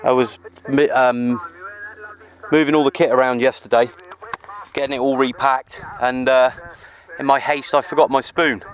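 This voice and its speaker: A man's voice: mid-range voice, little pitch movement, moderate pace, long pauses, rough recording. A man chatting casually outdoors, with informal, matey slang, like a radio hobbyist making a vlog. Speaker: amateur radio operator and vlogger. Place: outdoors on a hill. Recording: clear outdoor background noise.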